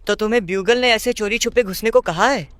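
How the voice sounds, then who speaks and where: A man's voice: high voice, quick pace, fairly bright tone. A man playing an earnest, friendly young vigilante hero with a casual, slightly hesitant boyish manner in game dialogue. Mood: playful, earnest. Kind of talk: Hindi-dubbed video game dialogue.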